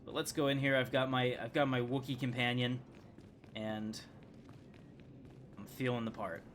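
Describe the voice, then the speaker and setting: A man's voice: mid-range voice, little pitch movement, moderate pace, background noise. A man streaming a video game with casual, joking, rambling commentary.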